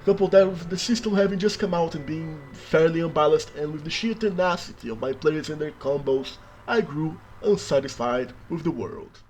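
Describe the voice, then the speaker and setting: A man from Brazil whose first language is Portuguese narrates a scripted voice-over. His voice sits a little high, and his delivery is dry and self-mocking with bursts of dramatic emphasis. Soft music plays faintly beneath him. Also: moderate pace, few pauses, poor audio. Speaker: worldbuilding video creator.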